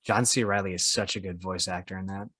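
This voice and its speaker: A man's voice: sits low, steady tone, fast talker, fairly bright tone, husky, no echo. A man co-hosting a podcast, chatting casually and repeating words as he starts his sentences. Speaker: podcast co-host.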